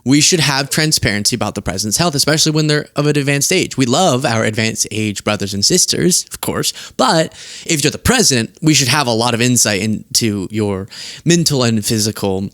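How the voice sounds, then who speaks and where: A young man talks to camera at his studio desk, loose and giggly despite sounding worn out from little sleep. His voice sits in a middle register and the sound is close and dry, with no room around it. He jumps into sudden high outbursts and quick asides. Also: lively intonation, fairly bright tone, no echo. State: tired from lack of sleep. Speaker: political commentary host. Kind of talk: casual political commentary to camera. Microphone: earpiece and studio mic.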